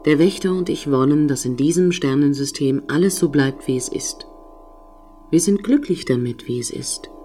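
A woman's voice: low-pitched, mellow, faint music behind, lightly acted. Cool, precise female station computer played by an actress. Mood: firm, guarded. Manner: formal, measured, officious. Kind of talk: science-fiction audio drama acting.

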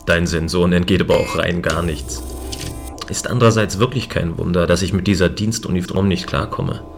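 Man as a deep-voiced, wry space watchman. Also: a little fast, creaky, music in the background. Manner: casual, dry, sarcastic. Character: wry, lonely male space station watchman. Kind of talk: science fiction radio play acting.

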